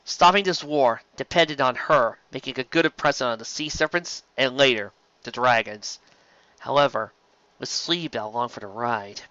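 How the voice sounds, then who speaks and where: An expressive narrator reading scripted story fiction into a close microphone, on a slightly dull home recording. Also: medium pitch, moderate pace, no room sound. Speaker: fan fiction audiobook narrator.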